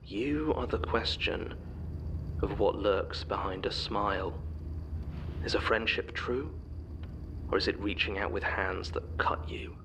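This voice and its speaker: Male actor, grave and knowing horror-drama figure, gravelly low-key menace, measured lines over clear rumbling noise. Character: grave, knowing man with an ominous quiet power. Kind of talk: audio horror drama acting.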